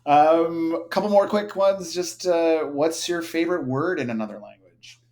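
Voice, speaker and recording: A man's voice: fairly high pitch, normal speed, a little mellow. A man interviewing a guest on a podcast, encouraging him with short affirmations and light laughs. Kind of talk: casual interview chat.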